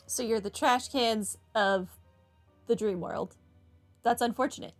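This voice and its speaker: A woman's voice: on the high side, a little slow. A woman giving casual, offhand commentary as she plays through a video game. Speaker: gaming streamer. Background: game music.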